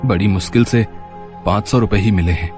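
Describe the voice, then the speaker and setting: A man plays a kindly family father in a children's moral story. His voice is fairly low, and he speaks in a calm, mild, reassuring way. Music plays behind him. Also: brisk, natural voice. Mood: tired, caring. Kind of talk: acted children's story dialogue.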